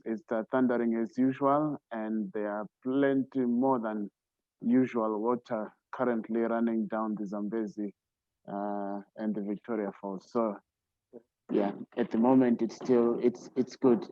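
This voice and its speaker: A man's voice: fairly low pitch, steady tone, unhurried, no echo. Man on dull, dry call audio. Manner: hesitant with fillers. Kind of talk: casual video call talk. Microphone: video call.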